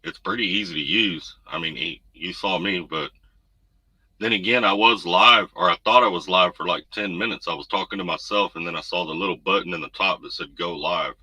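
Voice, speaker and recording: A man's voice: fairly quick, sharp tone, breathy edge, no echo, low-quality recording. A man, hosting a casual livestream from home and chatting through viewer comments in a laid-back, rambling way. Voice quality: deep voice. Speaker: trucker livestream host. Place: home room. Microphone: desk USB condenser mic. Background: noise.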